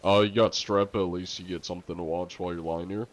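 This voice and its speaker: A man chatting casually to viewers on a live game stream, congested and coughing now and then, chatty and quick to laugh. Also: low voice, fairly even intonation, highs cut off.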